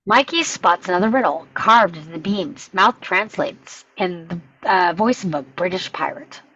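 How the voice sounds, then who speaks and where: A woman with a slightly husky voice reading scripted stage directions as narration at a table read, in dull sound. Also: lowish voice, lively intonation, normal speed, fairly bright tone.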